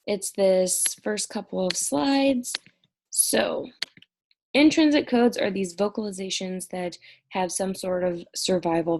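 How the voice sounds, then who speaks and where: A woman's voice: medium pitch, vocal fry, darkish tone, a little hiss or hum. A young woman recording an exam review lecture at home, explaining each topic conversationally while sounding stressed and short on sleep. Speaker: college instructor.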